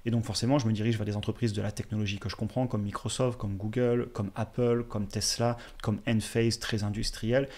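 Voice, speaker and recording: A man in his thirties hosting a finance channel, explaining investing to viewers in a steady, direct way, recorded on a close studio mic in a small room. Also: fairly low pitch. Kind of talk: educational commentary over slides. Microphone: studio mic on a boom in front.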